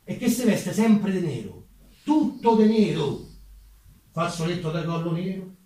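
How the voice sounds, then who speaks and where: Far off in a very echoey room, a male actor plays a talkative Roman street umbrella mender from the 1840s. His voice sits a little high, with a thick Roman accent. He is folksy and self-deprecating, tossing conversational asides in a stage monologue. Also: lively intonation, a little slow, warm tone. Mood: gossipy. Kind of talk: theatrical monologue in dialect. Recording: very echoey room, distant microphone.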